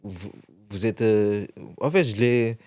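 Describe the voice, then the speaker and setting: A man's voice: fairly low pitch, takes it slowly, a little mellow. A young man from France, a guest in an interview, telling his story casually with many restarts. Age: young adult.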